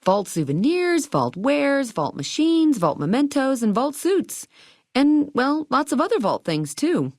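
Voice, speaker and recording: A woman's voice: sits high, very animated, a little slow, dry recording, studio quality, full character voice. A woman playing a perky, eager and quirky hotel keeper in a video game, chatty and full of chirpy salesmanship and playful old-fashioned slang. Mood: fond, proud. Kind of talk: video game dialogue lines.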